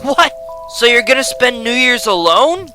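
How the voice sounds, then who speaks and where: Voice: medium pitch, very animated, measured pace, music in the background. An actor playing a hot-tempered, stubborn teenage boy in an amateur anime-style radio drama, blunt and combative. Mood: indignant.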